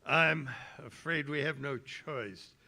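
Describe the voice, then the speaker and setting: A man playing a worried, authoritative police commissioner in a 1930s radio drama, earnest and halting. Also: fairly high pitch, bright, forceful tone, full character voice. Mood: grave, worried.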